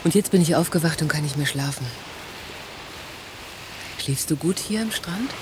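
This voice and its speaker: A woman's voice: low voice, moderate pace, natural voice. A woman acting a restless, questioning holidaymaker in a naturalistic radio drama, speaking in brief, simple phrases. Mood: quiet, wistful. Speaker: actor. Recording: hissy old cassette of radio play.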